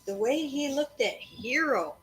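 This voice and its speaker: A woman reading a children's book aloud to kids in a storytelling style, pausing to chat and ask them questions. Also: sits high, lively intonation. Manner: breaks off to address and question children.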